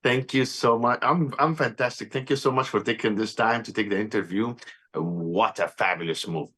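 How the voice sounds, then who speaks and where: A man's voice: on the low side, a little fast, fairly bright tone, breathy edge. A man from Egypt interviewing a film director, effusive with praise and loose in his phrasing. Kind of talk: enthusiastic interview questions.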